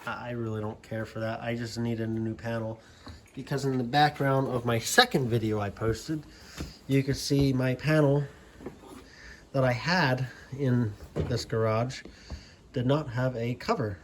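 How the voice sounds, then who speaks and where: An American man giving a casual, unscripted show-and-tell in a plainspoken, halting, rambling way. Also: mid-range voice. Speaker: hobbyist vlogger showing his CB radio setup. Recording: amateur video with background noise.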